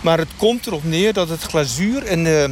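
A man playing an earnest satanic dentist in a mock radio interview, speaking in a halting, matter-of-fact way. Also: fairly high pitch, lively intonation, close to an everyday voice. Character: earnest satanic dentist of a sinister sect, male. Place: outdoors in a street. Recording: street noise, passing traffic.

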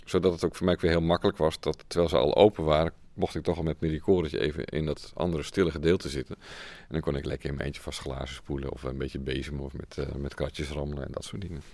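A man's voice: extremely low pitch, flat delivery, quick pace, creaky, hardly any pauses, darkish tone, no room sound. A man being interviewed about his craft, telling things in a casual, rambling, hesitant way. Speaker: radio drama sound maker being interviewed.

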